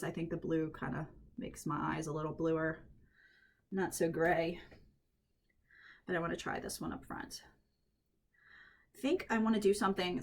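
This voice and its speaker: A woman at home, a little croaky from allergies, chatting through a head-wrap tutorial and thinking aloud as she works. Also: lowish voice. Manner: chatty, thinks aloud while working, frequent restarts. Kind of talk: casual hands-on tutorial.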